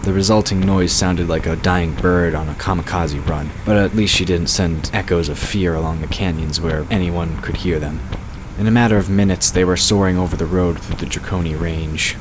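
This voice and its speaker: Deep male narrator over noisy ambience. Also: steady tone, moderate pace. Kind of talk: scripted fantasy story narration. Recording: clear background noise and ambience.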